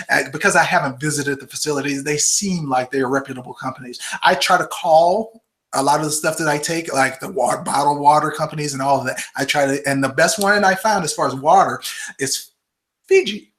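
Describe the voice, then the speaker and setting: A man in his forties hosts a live webinar from his computer mic. He answers viewer questions in a loose, chatty way and keeps tagging "okay?" onto his points. His voice sits a bit high and sounds sharp and forceful. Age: 40s. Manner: chatty, peppers sentences with "okay?" and "all right?". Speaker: host of a live health webinar. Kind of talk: casual live-stream Q&A. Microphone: computer microphone.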